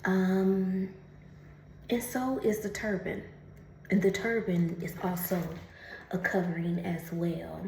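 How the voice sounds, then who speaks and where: Woman, oracle card reader, chatty, laughs often, unhurried, echoey room. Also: on the low side, steady tone, a little mellow, slight background noise. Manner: chatty, laughs often, calls listener honey; reads card text slowly and carefully. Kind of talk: casual spiritual card reading.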